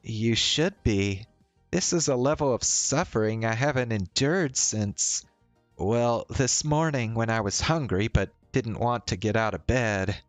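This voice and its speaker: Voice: mid-range voice, unhurried, lightly acted. A streamer voicing a giddy, mock-dramatic young woman from a visual novel. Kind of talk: visual novel character read-aloud. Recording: roomy sound, faint music behind.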